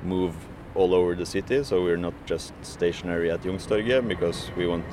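A man's voice: sits low, steady tone, fairly quick, noisy background. A man who works as a food courier, answering interview questions hesitantly with many fillers and restarts.

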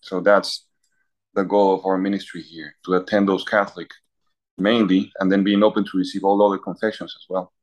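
A man's voice: low-pitched, little pitch movement, a little fast. A man from Peru, a university chaplain priest answering interview questions in a thoughtful, example-filled way. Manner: thoughtful, listing examples, clears throat.

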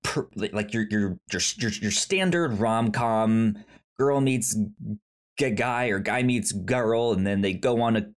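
Stammering man hosting a casual podcast. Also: fairly low pitch. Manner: halting, stammering with repeated word starts. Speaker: podcast host. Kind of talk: casual film review chat.

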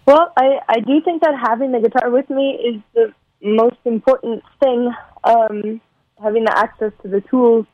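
Brief: young woman songwriter, measured and hesitant, dry muffled remote audio. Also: a little high, steady tone, no echo. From San Fernando Valley, California. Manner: soft-spoken, thoughtful, hesitant with many ums. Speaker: singer-songwriter guest. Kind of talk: remote interview.

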